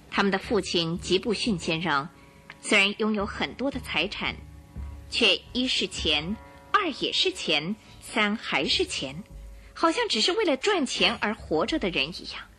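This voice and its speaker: A woman narrating a radio drama as a scripted story, in a dramatic, expressive storytelling manner. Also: medium pitch.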